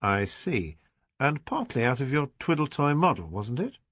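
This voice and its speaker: A man's voice: mid-range voice, very animated, moderate pace, fairly bright tone, no room sound, pitched above the performer's own voice. A man voicing a patient police detective, coaxing a child with friendly persuasion. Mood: questioning. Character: affable Scotland Yard detective, coaxing and patient.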